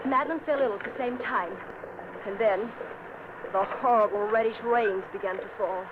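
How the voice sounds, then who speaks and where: A woman's voice: a little high, moderate pace, natural voice. An actress playing a fearful young noblewoman with urgent, anxious delivery, in vintage radio drama style. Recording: muffled old radio recording with loud hiss.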